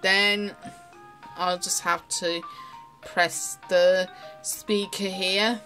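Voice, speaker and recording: A young adult woman demonstrating a toy off the cuff, explaining as she goes in a halting way. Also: mid-range voice, little pitch movement, fairly bright tone, soft background music. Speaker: toy reviewer.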